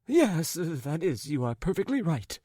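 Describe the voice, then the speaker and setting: A man voicing a muddled, apologetic middle-aged gentleman in a story, agitated and wordy, stumbling over and correcting himself. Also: fairly high pitch, sing-song, unhurried, dry recording, clean studio sound, voice pushed up. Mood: flustered, pleading.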